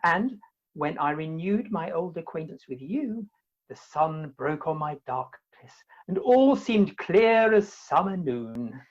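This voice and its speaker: On a dull, lo-fi call recording, a woman plays a bashful young bridegroom. The voice is low and husky, with a fastidious, self-righteous delivery from theatrical radio comedy. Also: animated, darkish tone, exaggerated voice. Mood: bashful, romantic. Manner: mannered, fastidious, precious. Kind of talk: theatrical comic radio play acting.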